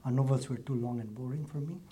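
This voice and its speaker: A man from Nepal, a university lecturer answering interview questions in a soft-spoken, hesitant way with restarts. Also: medium pitch, fast, breathy edge.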